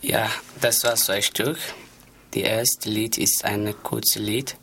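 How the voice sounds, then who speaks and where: A man from Somalia with a Somali accent, a radio guest speaking simple, halting German. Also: on the low side, fairly even intonation, sharp tone, slight background noise. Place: radio studio.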